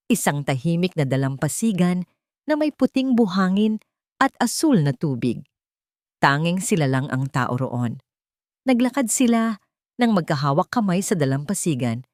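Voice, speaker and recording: Woman, story narrator, lowish pitch, sentimental, deliberate phrasing, scripted romance, dry sound. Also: sing-song, a little mellow, dry recording.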